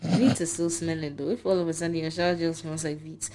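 A woman's voice: deep voice, flat delivery, quick pace, warm tone. A young woman co-hosting a casual podcast chat, blunt and emphatic in her opinions. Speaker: podcast co-host.

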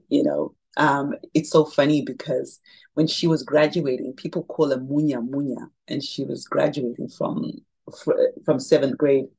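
A middle-aged woman with a deep, husky voice tells stories in a casual interview. She is animated and laughs easily. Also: rough recording. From Zimbabwe. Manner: animated storyteller, laughs often. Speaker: guest, makeup brand founder.

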